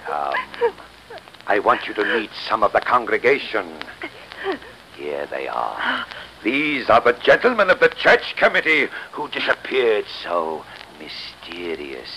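An actor playing a sinister church architect in 1930s radio style, politely smooth on the surface and menacing underneath. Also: male voice, lowish voice, huge pitch swings, measured pace, pushed and bright, heavily acted. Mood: gloating, maniacal. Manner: politely smooth, then menacing, theatrically commanding. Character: sinister church architect, gloating murderous villain posing as helpful. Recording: noisy old 1930s radio recording.

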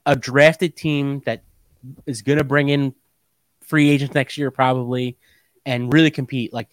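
A man's voice: mid-range voice, flat delivery, measured pace, no echo. A young American man co-hosting a sports podcast in casual chat, often repeating himself for emphasis.

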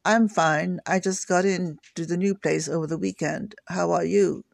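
A polite, sympathetic young woman scientist, read aloud by a man. The voice is gravelly and a bit high, recorded dry and close with no echo. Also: fairly even intonation, normal speed, heavily acted. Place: home kitchen table.

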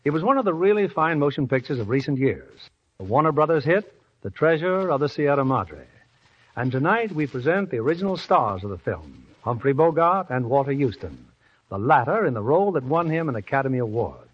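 A man's voice: medium pitch, big pitch swings, a little fast, slightly rough. A man presenting a 1940s radio drama in a formal showman manner, on a dull old radio recording. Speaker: radio drama producer host.

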